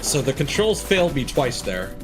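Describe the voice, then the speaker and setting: Guy streaming a game w music playing, medium pitch, grumbling and rewording stuff as he goes. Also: sing-song, moderate pace. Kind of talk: live gameplay commentary.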